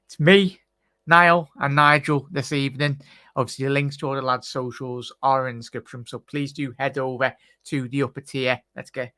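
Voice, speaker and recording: A man's voice: mid-range voice, fairly even intonation, moderate pace, sharp tone, dry recording. A man hosting a casual live football reaction stream on a video call, chatty and calling everyone mate. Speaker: host.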